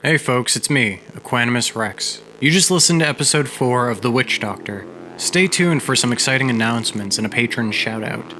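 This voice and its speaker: Measured male podcast narrator. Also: mid-range voice, animated, bright, forceful tone. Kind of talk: storytelling narration and podcast announcements. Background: background music.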